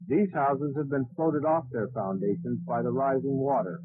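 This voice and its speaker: A man's voice: medium pitch, normal speed. Tinny, noisy male news reporter. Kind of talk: scripted news report narration. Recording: tinny archival news audio, clearly noisy.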